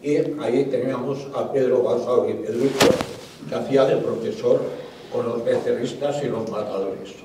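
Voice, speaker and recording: A man's voice: medium pitch, fairly even intonation, normal speed, noisy background. Older man speaking from far off. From Barcelona, Spain. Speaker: audience member. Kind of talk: casual chat, reminiscing. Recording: distant voice in a very echoey room.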